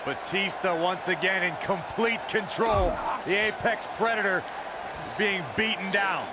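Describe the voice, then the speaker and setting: Game wrestling heel announcer: smug, needling, high register, very noisy arena backdrop. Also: male voice, measured pace, over a noisy crowd. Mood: intent. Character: smug, needling male villain-side wrestling commentator. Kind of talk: sports commentary. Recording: loud arena background noise and slams.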